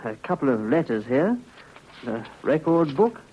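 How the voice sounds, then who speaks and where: An actor playing a diligent, deferential police detective in a 1950s radio drama, matter-of-fact and respectful. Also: male voice, medium pitch, sing-song, unhurried, breathy edge. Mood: businesslike. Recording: muffled old radio recording with faint noise.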